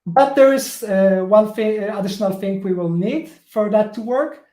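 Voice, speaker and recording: Polish-accented male tech presenter, slow and halting, filler-heavy delivery, mellow voice, roomy echo. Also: high-pitched. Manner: halting, many fillers and restarts. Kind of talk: live coding talk.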